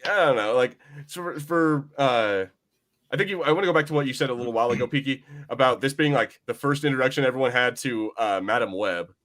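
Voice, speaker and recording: A man's voice: medium pitch, quick pace, fairly bright tone. A man chatting casually as a podcast host, informal and sweary in the way he talks.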